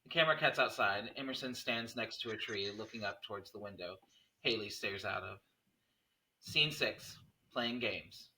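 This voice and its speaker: A man's voice: medium pitch, pushed and bright, slight background noise, poor audio. A man narrating the stage directions of a screenplay at a table read.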